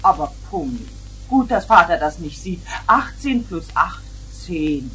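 An actress playing a brisk, chiding mother in a 1970s German children's radio play. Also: fairly low pitch, sing-song, fairly bright tone, husky, exaggerated voice, poor audio. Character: brisk, fussing mother of young daughters. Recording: faint hiss on old record.

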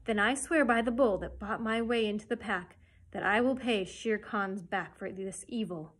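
Woman reading as a young jungle boy, storybook hero, proud, headstrong, insistent, slightly husky. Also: medium pitch, moderate pace, natural voice. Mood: determined. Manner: earnest, insistent. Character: proud, headstrong human boy raised by wolves.

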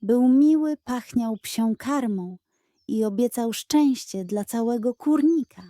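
A woman's voice: fairly high pitch, takes it slowly, warm tone, no room sound, clean studio sound. A woman voicing a bossy yet gullible hen who leads her flock, with a clucking, emphatic manner. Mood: wistful awe.

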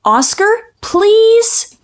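Lady reading a kids book out loud, really animated up and down voice, slow pace. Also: extremely high pitch. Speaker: host reading children's books aloud. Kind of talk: expressive children's storybook read-aloud.

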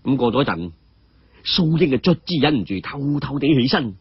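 A man's voice: medium pitch, animated, moderate pace, breathy edge, no echo, dull audio. A man narrating a story dramatically.

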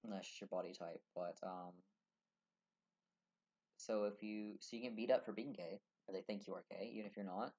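Young male vlogger, low-ish voice, earnest and rambling, muffled webcam sound. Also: fairly even intonation, normal speed, a little mellow. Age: young adult. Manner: earnest, rambling, many fillers and restarts. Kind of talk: casual talk to camera. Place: small room indoors. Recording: dull lo-fi webcam audio.

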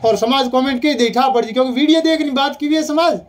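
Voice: sits high, fast talker. A speaker with a Rajasthani accent arguing heatedly and insistently on a phone call, often repeating points. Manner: insistent, repetitive.

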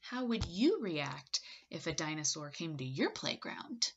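A woman in her thirties reads a picture book aloud like a teacher, expressively, with dramatic pauses and questions to young listeners. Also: low-pitched, sing-song, a little hiss or hum. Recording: slightly dull webcam audio.

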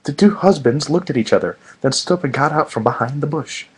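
A man's voice: fairly high pitch, lively intonation, brisk, breathy edge. A man doing a comedic reading of fan fiction in a mock-serious manner with sarcastic asides.

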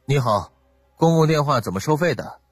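A man playing a calm, calculating young man with a measured, courteous manner in an audiobook drama. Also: fairly high pitch, breathy edge, dry recording, clean studio sound, soft background music. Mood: polite, composed.

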